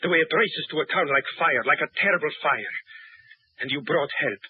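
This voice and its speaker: An earnest, husky-voiced male nurse. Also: on the high side, moderate pace. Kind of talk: 1950s radio drama acting. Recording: dry, tinny old radio broadcast.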